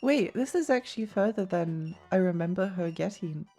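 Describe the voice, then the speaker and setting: A woman streaming a video game, chatting casually with many fillers and little laughs, her voice a bit croaky. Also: lowish voice, expressive, moderate pace, mellow, soft background music. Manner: rambling, giggly, many fillers and restarts. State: slightly croaky voice. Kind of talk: casual live-stream chatter while gaming.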